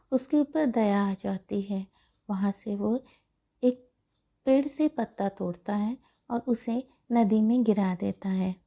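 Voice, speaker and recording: A woman's voice: on the high side, warm tone. A woman narrating a moral story for children as a storyteller.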